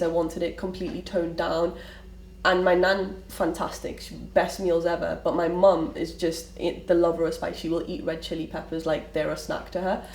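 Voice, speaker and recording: Young woman, Liverpool accent, lowish voice, candid and rambling; roomy distant mic, faint hiss. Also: a little mellow. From Liverpool, England. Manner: candid, rambling with frequent restarts. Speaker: interviewee. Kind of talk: personal storytelling interview.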